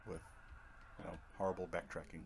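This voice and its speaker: A man's voice: sits low, little pitch movement, a little fast. A man giving casual, wry commentary as he plays through a video game. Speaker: gaming video host. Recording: clear background noise.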